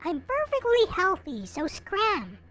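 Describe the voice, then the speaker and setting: A woman's voice: very high voice, wildly swooping pitch, takes it slowly, a bit bright, soft background music, pitched above the performer's own voice, full character voice. A woman voicing a bitter, reclusive young patient who is curt and hostile in a visual novel. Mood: angry outburst.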